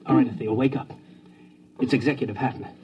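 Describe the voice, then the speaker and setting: A male actor plays a sober male colony commander in a vintage radio space drama. His medium-pitched voice is level-headed and skeptical, with authority, over a slightly noisy old recording. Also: steady tone, fast talker, a little mellow, highs cut off, lightly acted. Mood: gentle, concerned. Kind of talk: old-time radio sci-fi drama acting.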